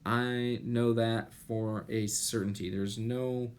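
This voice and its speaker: A man in his thirties giving an explanatory lecture as an instructor, deliberate and pausing, with rhetorical questions. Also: medium pitch. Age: 30s. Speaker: chemistry instructor. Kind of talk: explanatory lecture over slides. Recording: noticeable steady background noise.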